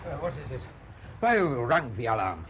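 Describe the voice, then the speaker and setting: An actor playing a weary, irritable German merchant ship captain in a radio drama, giving curt, imperious orders. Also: male voice, medium pitch, very animated, a little fast, fairly bright tone. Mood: irritable, commanding. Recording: dull, noisy old radio drama recording.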